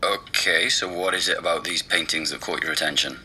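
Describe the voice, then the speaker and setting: A man's voice: low-pitched, fairly even intonation, brisk, sharp tone, a little hiss or hum. An actor playing a laid-back man helping a podcaster with research, asking casual questions in a naturalistic audio drama. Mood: sceptical. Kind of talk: naturalistic audio drama dialogue over a call.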